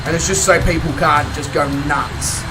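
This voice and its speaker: An Australian man streaming a game, chatting casually with viewers in a croaky voice, rambling and chuckling between remarks. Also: fairly high pitch, steady tone, moderate pace, far from the mic, very noisy. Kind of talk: casual chat with live viewers.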